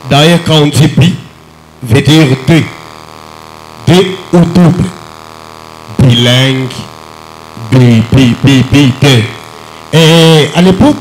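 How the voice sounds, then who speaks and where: A man's voice: on the high side, slight background noise, rough recording. A man with a Congolese French accent explaining a word on a radio show, repeating syllables. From Bukavu, DR Congo.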